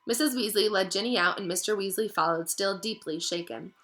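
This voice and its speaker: A woman narrating a novel aloud in an expressive storytelling style. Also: mid-range voice, a little fast. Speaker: reader, narrator. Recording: music behind the voice.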